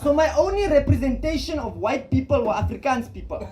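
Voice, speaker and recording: A man's voice: very high voice, expressive, fairly quick, over music. A South African man doing stand-up, teasing the crowd with punchy jokes. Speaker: stand-up comedian. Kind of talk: stand-up comedy set. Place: comedy club stage with live audience. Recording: echoey club, loud crowd laughter. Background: crowd laughing.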